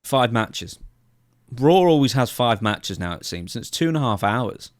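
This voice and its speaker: A man's voice: mid-range voice, big pitch swings, moderate pace, no room sound, studio quality. A man hosting a podcast, putting questions and points to his co-host in casual chat.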